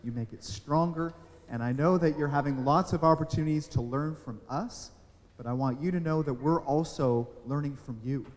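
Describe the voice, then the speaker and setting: A man's voice: on the high side, normal speed, a little mellow. Earnest man speaking in a reverberant hall. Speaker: public official giving a speech. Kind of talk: formal address.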